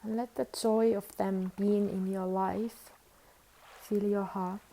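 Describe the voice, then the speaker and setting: A woman leading a guided meditation as a teacher, with soothing, unhurried instructions and long pauses. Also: mid-range voice, level tone, creaky, warm tone, rough recording.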